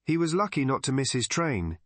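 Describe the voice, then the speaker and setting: A man's voice: medium pitch, very animated, brisk, fairly bright tone, no room sound. Man reading as a chatty village chemist.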